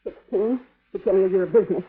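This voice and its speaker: A woman's voice: medium pitch, fast talker, slightly rough, close to an everyday voice. A woman playing a tough, proud sixteen-year-old runaway farm girl in an old radio western, with a sassy rural Western American drawl. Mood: defiant, guarded. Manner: sassy, folksy, ungrammatical drawl. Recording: muffled, narrow-band old radio recording.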